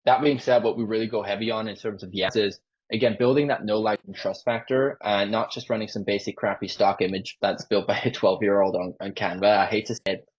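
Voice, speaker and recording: Man, sales closer. Low, husky voice, informal pitch style, muffled call recording. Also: fairly even intonation, brisk. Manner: informal, peppered with "like". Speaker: sales closer for a marketing agency. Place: online sales call with screen sharing. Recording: dull lo-fi call audio.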